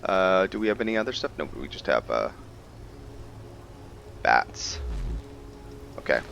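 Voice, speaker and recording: A man hosting a gameplay video with casual roleplay commentary, thinking aloud in a halting way. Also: deep voice, very animated, gravelly, a bit bright, muffled recording, faint music behind.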